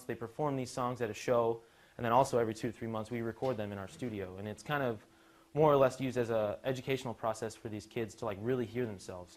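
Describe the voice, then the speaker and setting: Guy doing a laid-back talk about his work, medium pitch, chatty and informal. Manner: conversational, casual. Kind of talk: informal spoken presentation.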